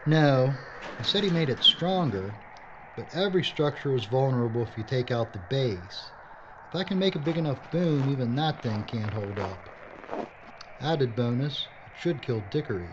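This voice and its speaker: A determined male filmmaker in a horror audio drama played by an actor, speaking at a middling pitch. He is blunt and full of matter-of-fact bravado. The sound is dull and noisy. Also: lively intonation, moderate pace, close to an everyday voice. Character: gruff, determined male filmmaker turned action hero. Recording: dull audio with loud background noise.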